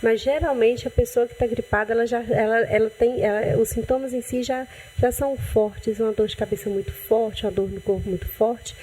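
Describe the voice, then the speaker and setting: A woman doctor with a Brazilian accent, calmly explaining health matters in a TV interview, often restarting her sentences. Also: on the high side, a little fast, hardly any pauses, slightly rough, poor audio. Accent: Brazilian Portuguese. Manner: calm, explanatory, with frequent restarts and "né". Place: TV studio.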